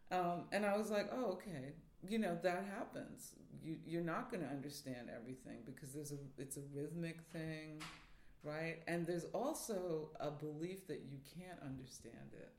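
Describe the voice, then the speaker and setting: A man's voice: a little high, moderate pace, a little mellow. A man from New York City, a theater professor, speaking thoughtfully as an interview guest. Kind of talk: reflective interview talk. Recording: roomy echo.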